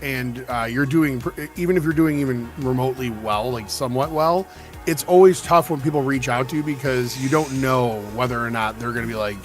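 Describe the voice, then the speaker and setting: An American man in a casual chat, thoughtful, often trailing off softer mid-sentence. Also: mid-range voice, brisk, soft background music. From United States.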